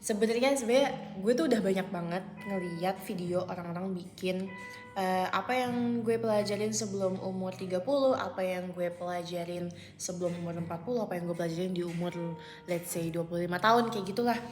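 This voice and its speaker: A woman's voice: fairly low pitch, brisk, darkish tone, soft background music. A woman in her twenties talking casually to camera at home in a vlog, chatty and full of fillers, often slipping into English phrases. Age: 20s. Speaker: vlogger. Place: at home, indoors. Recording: echoey room.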